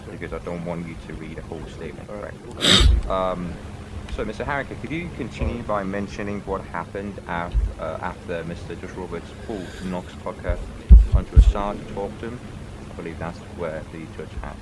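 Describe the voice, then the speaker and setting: Deep voiced guy playing a lawyer in rp court, lots of uhs and ums, noisy voice chat. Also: moderate pace. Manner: hesitant, many fillers, formal questions. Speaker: lawyer questioning a witness in a roleplay court. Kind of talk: in-character courtroom questioning.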